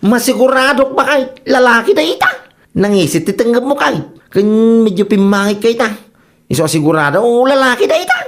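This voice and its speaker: A woman acting an excitable, teasing spouse eager for a son in a radio comedy drama. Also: fairly high pitch, fairly quick. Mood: overjoyed, excited.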